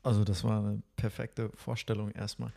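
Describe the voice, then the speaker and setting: A man's voice: on the low side, monotone, normal speed, vocal fry, dark tone. Enthusiastic man in his thirties hosting. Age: 30s. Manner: enthusiastic, friendly, laughs easily. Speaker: podcast host. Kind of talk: casual podcast conversation.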